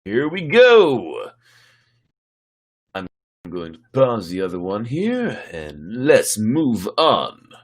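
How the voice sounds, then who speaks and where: Husky man chatting on a livestream. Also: fairly low pitch, huge pitch swings, slow pace, long pauses. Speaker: host and story reader. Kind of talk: casual livestream chat between dramatic readings. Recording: music behind the voice.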